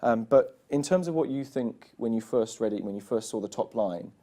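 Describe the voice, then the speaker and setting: A man's voice: medium pitch, lively intonation, moderate pace, dark tone. A man interviewing guests in a studio, asking long rambling questions with restarts.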